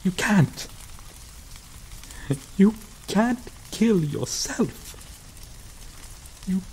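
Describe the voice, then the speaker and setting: Woman acting a slow-spoken, possessive vampire lover. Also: lowish voice, mellow, slight background noise, rough recording. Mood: desperate pleading. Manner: halting, slow, emotional delivery with drawn-out pauses. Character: grieving, possessive female vampire lover, vengeful and pleading. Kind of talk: dramatic ASMR roleplay acting.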